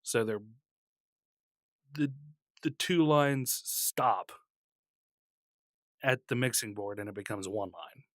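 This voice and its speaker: A man's voice: fairly low pitch, slow pace, pauses often, no echo, studio quality. A man co-hosting a podcast, teasing his co-host, explaining things and laughing often. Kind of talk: casual chat.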